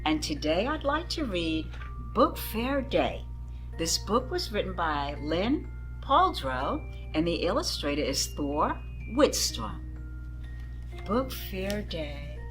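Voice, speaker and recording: A woman's voice: on the low side, big pitch swings, slow, fairly bright tone, faint music behind. A woman reading a picture book aloud to children, expressively and with dramatic emphasis, addressing her young listeners. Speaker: children's story-time reader.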